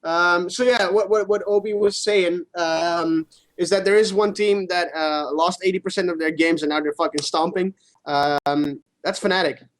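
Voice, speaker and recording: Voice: mid-range voice, steady tone, moderate pace, low-quality recording. A co-host of a gaming stream chatting casually about esports in an informal way, swearing freely.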